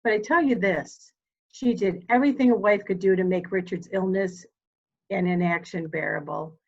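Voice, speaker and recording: A woman acting a steely, dying elderly mother in a mystery drama reading, speaking formally and deliberately. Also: medium pitch, dull audio, natural voice. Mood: sorrowful, candid.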